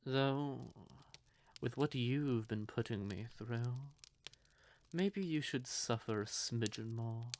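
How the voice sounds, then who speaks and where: A man voices a cold, possessive male vampire in a roleplay audio drama. He speaks slowly at a medium pitch, with theatrical, condescending menace toward a lover who betrayed him. Clear background noise sits in the recording. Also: a little mellow. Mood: condescending control. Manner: theatrical, slow, condescending, deliberate.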